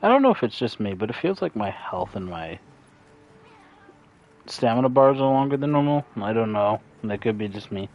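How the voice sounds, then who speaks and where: Chill guy with a lowish voice gaming and joking, muffled lo-fi audio. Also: sing-song, normal speed. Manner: laid-back, joking. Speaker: gaming co-host. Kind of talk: casual chat while playing a game. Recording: dull lo-fi stream audio.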